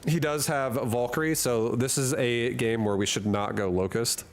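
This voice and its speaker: A man's voice: mid-range voice, fairly even intonation, normal speed, a little hiss or hum. A man streaming a game from a hot room at home, chatting casually and rambling through jokes while he reads chat and laughs often.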